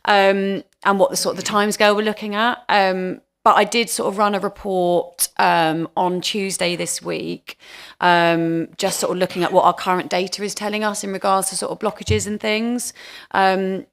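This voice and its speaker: A woman's voice: mid-range voice, flat delivery, moderate pace, a bit bright, smooth. A woman answering questions at a council committee meeting, hesitant and full of fillers. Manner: hesitant, many fillers and "sort of". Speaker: water company representative.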